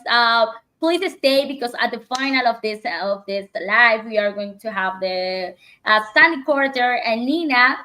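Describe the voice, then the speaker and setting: Host, female, fairly high voice, casual chat with restarts. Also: fairly bright tone. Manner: halting, many restarts and fillers, laughs often. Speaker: livestream host.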